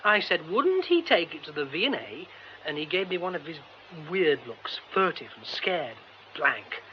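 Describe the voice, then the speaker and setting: An actor playing an excitable, romantic young British theatre man in a radio drama, exclamatory and effusive, often breaking off mid-thought. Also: on the high side, moderate pace. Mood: earnest. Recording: dull, faintly noisy radio recording.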